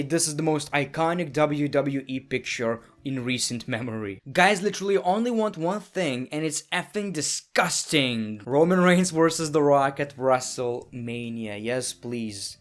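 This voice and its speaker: A man from Lithuania with a Lithuanian accent, hosting a joking, sarcastic wrestling reaction commentary that swings from loud bursts to mumbled asides. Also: a little high, lively intonation, measured pace, few pauses. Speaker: YouTube wrestling reaction host. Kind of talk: casual comedic commentary.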